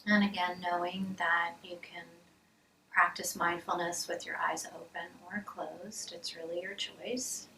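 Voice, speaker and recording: A woman leading a guided body scan meditation as a mindfulness teacher, speaking softly and soothingly with pauses, often trailing into a breathy near-whisper. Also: fairly low pitch, flat delivery. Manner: soft, soothing, measured with pauses. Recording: roomy, distant mic, hissy background noise.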